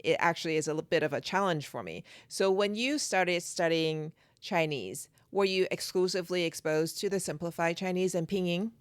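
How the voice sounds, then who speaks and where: A woman from Taiwan hosting an interview, explaining things in a measured way before asking her guest a question. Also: fairly low pitch, clear, resonant tone, no room sound. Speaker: podcast host.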